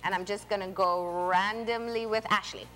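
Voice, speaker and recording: A woman co-hosting a morning TV show, speaking in an encouraging, chatty way. Her voice sits at an ordinary pitch for a woman. Music plays clearly in the studio behind her. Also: steady tone, normal speed. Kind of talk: casual live TV chat. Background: background music.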